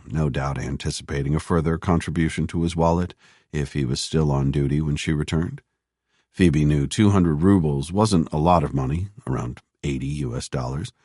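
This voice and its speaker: A male audiobook narrator with a very deep voice, reading in a measured, even way. The recording is dry and close, with no room sound. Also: slightly rough, no echo. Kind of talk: scripted narration.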